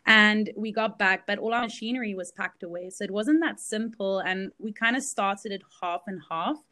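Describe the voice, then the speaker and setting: A woman's voice: medium pitch, moderate pace, pushed and bright. A woman in her thirties, originally from Belgium, telling her story as an interview guest in a candid, chatty way with many fillers. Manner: candid storytelling with frequent fillers and light laughs. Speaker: guest, business founder. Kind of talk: casual interview chat.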